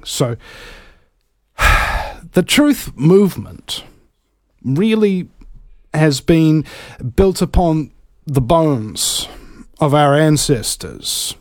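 A man's voice: on the high side, darkish tone, no echo, clean studio sound. A man from New Zealand hosting casual live talk radio, rambling along with theatrical emphasis on some words.

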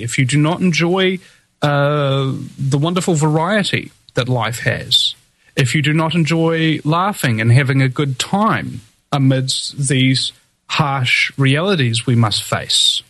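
A man's voice: mid-range voice, fairly bright tone, no room sound, rough recording. A man hosting his own show, ranting defiantly in a solo monologue full of fillers and restarts, with a somewhat whiny voice. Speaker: podcast host.

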